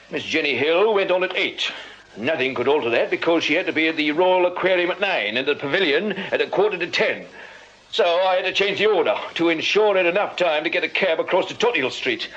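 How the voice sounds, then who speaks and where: A man's voice: fairly high pitch, fairly bright tone, slight background noise. A man playing an irritable, self-important Victorian music hall manager in a radio drama, officious and impatient, with an English accent. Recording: muffled radio drama with faint background ambience.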